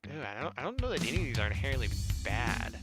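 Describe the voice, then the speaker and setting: Animated man doing game playthrough commentary. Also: medium pitch, very fast, music in the background. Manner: joking asides, casual. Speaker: gaming video host. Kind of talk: playthrough commentary and reading game text aloud.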